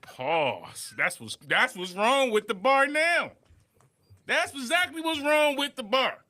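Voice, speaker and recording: A man's voice: very high-pitched, slow, slight background noise. A man hosting a casual livestream reaction chat, joking and laughing as he talks. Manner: animated, joking, laughs often. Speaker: reaction show host.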